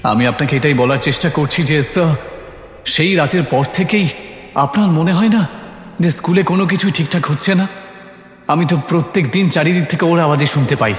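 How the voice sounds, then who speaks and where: A man's voice: on the high side, fairly quick, pitched above the performer's own voice, natural voice. A man voicing a nervous, guilt-haunted maths teacher who questions anxiously and deferentially in a horror story. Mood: uneasy insistence. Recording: echoey, long reverb.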